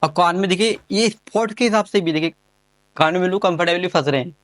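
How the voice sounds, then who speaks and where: A man reviews gadgets in a casual, chatty unboxing style. His voice sits a little high and the sound is fairly dry. He talks informally and with enthusiasm, circling back over his phrases. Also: fast talker, a bit bright, no echo.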